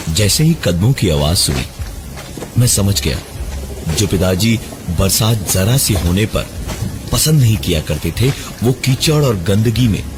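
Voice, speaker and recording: A man narrating a horror story in the first person, dramatically, with suspenseful, deliberate pauses. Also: on the low side, noisy background. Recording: music behind the voice, 3D voice effects. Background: prominent music.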